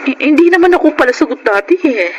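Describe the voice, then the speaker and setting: A Filipino actress playing a firm, long-suffering young wife and mother in a radio drama, speaking with emotional, deliberate delivery. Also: high-pitched, lively intonation, dull audio, a little hiss or hum. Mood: weary, candid. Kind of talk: Filipino radio drama acting and first-person narration. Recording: radio drama with background music.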